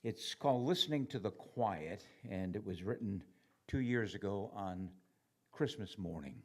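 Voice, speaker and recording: A man informally introduces his own poems at a reading, speaking in short, halting phrases. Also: fairly low pitch, animated, darkish tone. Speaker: poet introducing own work.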